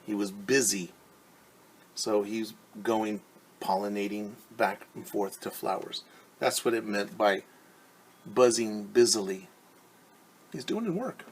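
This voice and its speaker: A man reading a picture book aloud as a teacher, pausing to ask children questions and explain things simply. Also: fairly low pitch, slight background noise.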